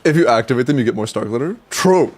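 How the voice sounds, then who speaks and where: A man's voice: medium pitch, animated, quick pace, darkish tone, slight background noise. A young man streaming a game and chatting casually with viewers, sounding stuffy and congested, rambling with restarts, casual swearing and laughs. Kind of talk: casual livestream chat with viewers.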